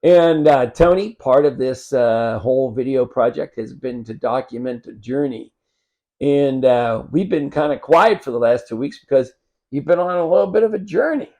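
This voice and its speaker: Mellow-voiced man hosting a chat. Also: mid-range voice, normal speed. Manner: guides the talk, summarizing, with frequent fillers. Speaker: host. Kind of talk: casual conversation.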